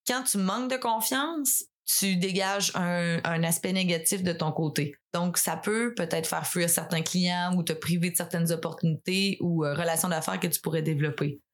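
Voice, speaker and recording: A woman's voice: lowish voice, moderate pace, few pauses. Québécois woman in her thirties, host. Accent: Québécois French. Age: 30s. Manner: direct, joking asides. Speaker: podcast host. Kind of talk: casual interview conversation.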